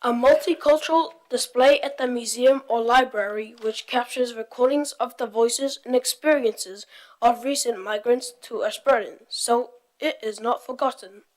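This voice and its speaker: A woman's voice: on the high side, normal speed, rough recording. A young woman from Tonga reading a prepared presentation as part of a youth group.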